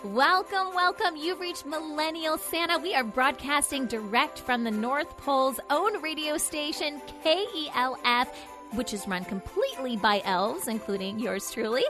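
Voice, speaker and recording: Christmas elf radio host , played by a woman: chirpy, high-pitched, very lively intonation, friendly and chatty, music underneath. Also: moderate pace. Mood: bubbly, welcoming. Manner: upbeat, chatty, addressing listeners directly. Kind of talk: scripted children's radio show presenting.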